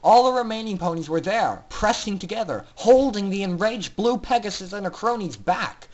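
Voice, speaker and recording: A man's voice: sits high, animated, moderate pace. A man narrating fan fiction in a theatrical, intense style, as a dramatic story reader.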